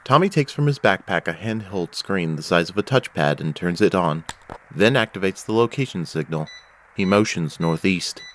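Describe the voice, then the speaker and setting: Deep-voiced male story narrator. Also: lively intonation, normal speed. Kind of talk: scripted audio drama narration. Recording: faint background noise.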